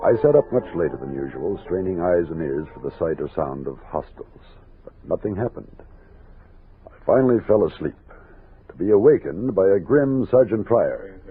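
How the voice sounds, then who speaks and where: Frontier captain: commanding yet thoughtful, low animated voice, radio western, narrow vintage sound. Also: male voice, normal speed. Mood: grave, reflective. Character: steady male expedition captain, commanding, thoughtful frontier leader. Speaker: narrator and lead actor. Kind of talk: old-time radio western drama, narrated and acted. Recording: narrow-band vintage radio recording with music.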